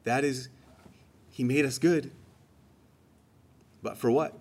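Guy preaching a homily, kinda husky, chatty but punchy on the big lines. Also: medium pitch, slow pace. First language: English. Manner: conversational, emphatic, rising on key phrases.

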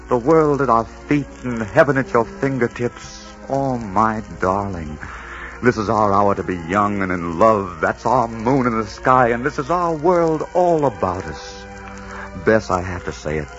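Low-voiced, ardent gentleman highwayman. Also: unhurried. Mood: tender, romantic. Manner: suave, courtly, murmuring sweet declarations. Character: dashing, ardent gentleman highwayman, romantic and reckless. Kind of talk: 1940s radio romance acting. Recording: dull 1940s radio recording with music.

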